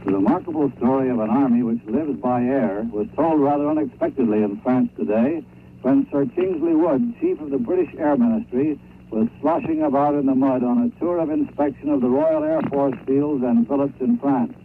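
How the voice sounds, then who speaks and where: A man reports as a radio war correspondent in scripted 1930s storytelling style. His delivery is highly animated, with a gravelly creak. The old shortwave sound is dull, with faint noise. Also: moderate pace.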